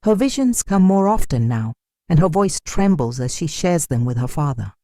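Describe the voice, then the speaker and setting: Folktale narrator, mid-pitched and mellow, solemn dramatic read, very dry recording. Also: sing-song, normal speed, no echo. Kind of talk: dramatic scripted storytelling narration.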